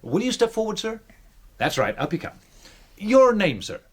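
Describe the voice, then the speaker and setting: An actor playing an excitable male stage showman turned amateur sleuth in a radio drama, with dramatic pauses. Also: high-pitched, husky, full character voice. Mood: showy, theatrical.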